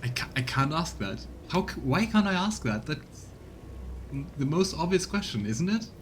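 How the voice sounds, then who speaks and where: A man's voice: a little high, big pitch swings, normal speed, slight background noise. A man giving casual let's play commentary as a game streamer. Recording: music behind the voice. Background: game music.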